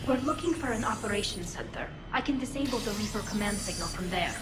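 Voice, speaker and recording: An actress playing an earnest, quick-thinking young alien tech expert in a video game, with an urgent, explanatory manner. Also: female voice, mid-range voice, expressive, lightly acted. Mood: focused. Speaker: tech and hacking specialist. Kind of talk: cinematic video game acting. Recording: loud music behind.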